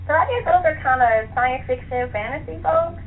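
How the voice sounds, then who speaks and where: A woman with a fairly high voice talks as an interview guest. She is chatty and enthusiastic, speaking conversationally. The sound is thin like a phone call, with noticeable noise on the line. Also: moderate pace. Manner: chatty, enthusiastic, laughs easily. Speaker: author being interviewed. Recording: tinny phone line with clear hiss.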